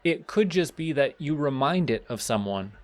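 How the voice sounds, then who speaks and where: A man's voice: on the high side, expressive, moderate pace, close to an everyday voice. A man playing a pleasant supervillain boss in an audio roleplay, speaking politely and formally as he instructs the listener. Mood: intrigued, measured. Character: courteous, surprisingly pleasant male supervillain boss.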